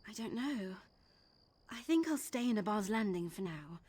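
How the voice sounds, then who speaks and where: A woman playing an earnest young thief in a video game, with a heartfelt, expressive delivery. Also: medium pitch, normal speed, breathy edge. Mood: reflective. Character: earnest young woman thief seeking her late father's treasure. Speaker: game character. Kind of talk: dramatic game dialogue.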